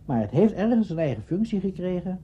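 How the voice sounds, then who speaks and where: A man giving reflective interview answers, halting and repeating himself as he searches for words. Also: high-pitched, big pitch swings, mellow, slight background noise. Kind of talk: spontaneous reflective interview talk. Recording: dull archive interview recording.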